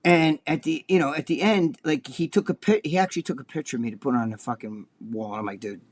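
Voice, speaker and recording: Older New Jersey man, podcast co-host, foul-mouthed animated storyteller with a slightly higher voice. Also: fast talker. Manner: animated, profane, acts out quotes in a high voice. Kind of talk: casual storytelling chat.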